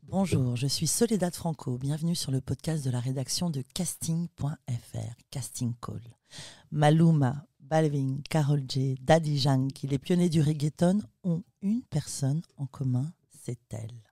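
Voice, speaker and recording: Mellow low-voiced woman podcast host. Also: measured pace, no echo, clean studio sound. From South America. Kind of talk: podcast introduction and interview questions.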